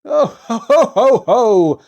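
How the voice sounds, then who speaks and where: A merry old storybook gift-giver, as a man reader plays him. He is hearty and jovial, with a lively voice that swings widely in pitch. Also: slow pace, vocal fry, heavily acted. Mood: laughing heartily.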